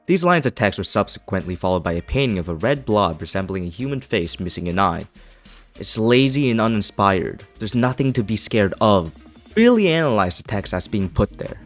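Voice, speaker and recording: A man's voice: fairly low pitch, sing-song, moderate pace, faint music behind. A man reading a scripted video essay as its narrator, with an opinionated, critical manner.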